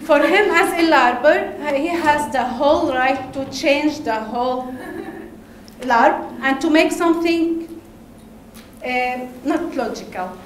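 A woman from Lebanon with an Arabic accent, giving a conference talk in halting English with hesitations and laughs. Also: high voice, a little hiss or hum. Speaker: conference speaker. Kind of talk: presentation talk. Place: large darkened conference hall. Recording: echoey hall, faint ambience.

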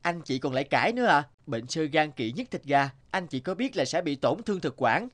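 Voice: mid-range voice, big pitch swings, brisk. An actor voicing an eager, naive young male apprentice healer in a folk-tale cartoon, speaking earnestly. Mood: scolding. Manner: deferential, admiring, earnest. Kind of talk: animated folk tale dubbing. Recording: music under the voice.

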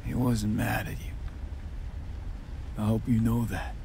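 A man's voice: on the low side, level tone, measured pace, creaky, dark tone, slight background noise. An actor playing a weary, bitter young mercenary in a video game, blunt and downcast in his speech. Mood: subdued. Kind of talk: naturalistic video game acting.

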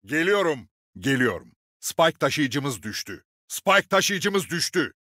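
Game role: gruff male squad leader, seasoned and commanding, very animated delivery, close dry recording. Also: a little high, slow, no echo, heavily acted. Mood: alert, commanding. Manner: authoritative, clipped commands with dry swagger. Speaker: game character voice actor. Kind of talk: video game voice lines, short military barks.

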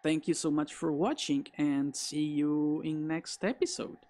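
A woman's voice: low-pitched, steady tone, measured pace, dark tone. A woman hosting a gaming video, giving commentary and reading dialogue aloud.

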